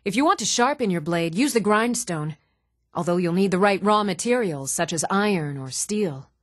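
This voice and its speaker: An actress playing an even-toned townswoman in a fantasy video game, speaking scripted lines in a measured, matter-of-fact way. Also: medium pitch, dry recording, studio quality, lightly acted. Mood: instructive, matter-of-fact.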